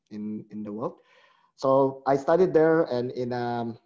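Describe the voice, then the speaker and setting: A middle-aged man speaks as an interview guest, thoughtful and a little halting, often restarting his sentences. His voice is warm and mellow at an ordinary pitch. The recording is dull and lo-fi. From Indonesia. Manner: reflective, hesitant with restarts. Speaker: guest, company chief executive. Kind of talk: conversational interview.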